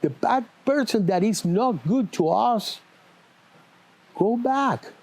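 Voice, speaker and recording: Fields: Sex: man. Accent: Spanish. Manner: slow, halting English. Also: high-pitched, lively intonation, darkish tone. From born in Cuba, lived in Venezuela. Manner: halting, non-native grammar, earnest. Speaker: auto body shop owner. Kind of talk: interview answers. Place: auto repair shop.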